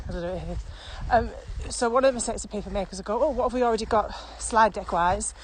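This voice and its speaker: British woman, podcast expert. Emphatic, conversational explainer, mid-pitched, some ambient noise. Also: fast talker, low-quality recording. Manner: emphatic, repeats key phrases, poses rhetorical questions. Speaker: podcast co-host and virtual training expert.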